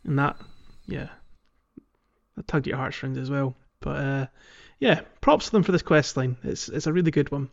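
A man co-hosting a podcast, chatting casually about a video game in a halting, conversational way. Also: mid-range voice, gravelly, dull audio. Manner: halting, peppered with "like" and "you know". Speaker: podcast co-host.

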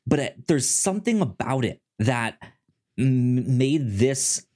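A man's voice: medium pitch, sing-song, takes it slowly, mellow. A man co-hosting a casual film discussion, stammering and repeating words as he works through his thoughts. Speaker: podcast co-host.

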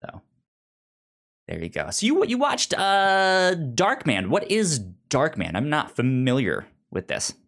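A woman's voice: low voice, huge pitch swings, slow, gravelly. A woman in her thirties hosting a casual podcast in a playful way. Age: 30s. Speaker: podcast host. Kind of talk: casual chat and informal plugs.

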